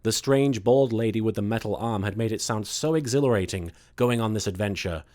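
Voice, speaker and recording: A man's voice: lowish voice, brisk, dark tone. A man hosting and narrating a scripted comic story, with some casual introductory talk. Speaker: host and fan fiction reader.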